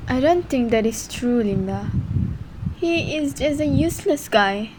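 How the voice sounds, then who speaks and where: Embittered young mother, played by a woman, high voice, amateur radio drama, faint background noise. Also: lively intonation, a little slow. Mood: weary, bitter. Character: weary, hurt young mother and embittered wife.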